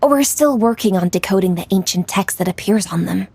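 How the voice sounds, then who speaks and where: A woman's voice: medium pitch, lively intonation, brisk, creaky, darkish tone, breathy edge. A woman playing a young, diligent female official in a video game, speaking in a composed, polite and formal way.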